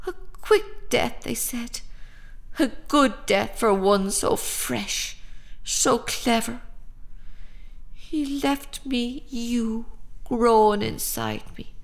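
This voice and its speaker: A woman's voice: fairly high pitch, vocal fry, heavily acted. An actress with an Irish accent playing an ordinary mother, haunted and fiercely protective of her child, in a lyrical radio drama style. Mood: grieving. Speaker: actor. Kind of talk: radio drama monologue and dialogue.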